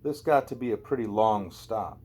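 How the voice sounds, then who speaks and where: A man's voice: lowish voice, normal speed, darkish tone, slight background noise. A man narrating a first-person novel as an amateur audiobook reader, in a conversational, wry storytelling way.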